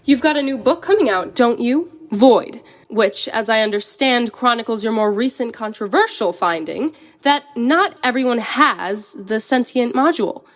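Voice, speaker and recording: An actress playing a polished, probing broadcast interviewer in an audio drama, polite and professional. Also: female voice, fairly high pitch, expressive, normal speed, few pauses, close to an everyday voice.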